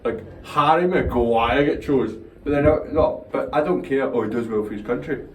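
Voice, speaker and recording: A man's voice: mid-range voice, normal speed, distant mic, slight background noise. A young Scottish man chatting casually about football, opinionated and rambling, swearing now and then. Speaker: co-host. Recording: roomy echo, slightly off-mic, faint ambience.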